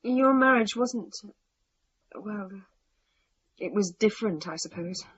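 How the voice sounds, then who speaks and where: Breathy, distressed young wife. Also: female voice, mid-range voice, animated, takes it slowly, a bit bright, muffled recording, exaggerated voice. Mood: sad, worried. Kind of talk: radio play acting.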